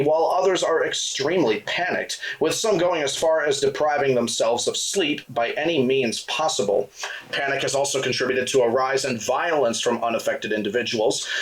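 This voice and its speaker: A man's voice: mid-range voice, lightly acted, low-quality recording. A man playing a formal TV news anchor. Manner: formal, even newsreader delivery. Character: male TV news anchor, composed and businesslike. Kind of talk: scripted news report.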